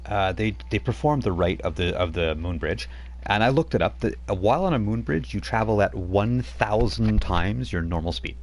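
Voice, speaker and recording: A man's voice: lowish voice, expressive, normal speed, a little mellow. A man running a tabletop role-playing game as game master, chatty and rambling, laughing often as he talks.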